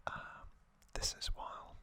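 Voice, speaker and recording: An ASMR host whispers softly and breathily, close to the microphone. The delivery is very slow and gentle, broken into short phrases with lingering pauses. The whisper has a crisp, bright edge. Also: no echo. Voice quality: soft breathy whisper, mostly unvoiced. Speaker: host of a whispered trivia channel.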